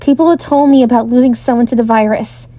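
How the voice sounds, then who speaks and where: A woman's voice: a little high, fairly even intonation, quick pace, warm tone, no room sound, close to an everyday voice. A woman playing an anxious, discontented travelling bard in a fantasy audio drama, given to worrying and teasing. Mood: uneasy, brooding. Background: music.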